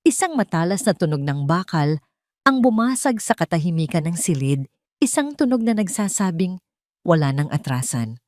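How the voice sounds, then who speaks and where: A woman narrating a scripted first-person drama in a deliberate, dramatic way with short phrases. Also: lowish voice, sing-song, darkish tone, dry recording, clean studio sound. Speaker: story narrator.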